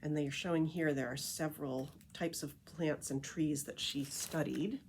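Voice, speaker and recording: A woman reading a children's picture book aloud as a storyteller, with expressive, deliberate emphasis on key phrases. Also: low-pitched, a little hiss or hum.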